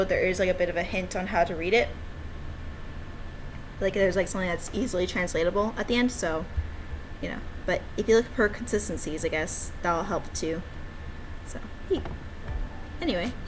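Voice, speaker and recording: A woman's voice: lowish voice, fairly quick, a little hiss or hum, poor audio. A woman giving casual, playful and giggly commentary as she plays a game she made herself. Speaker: game developer playing her own game.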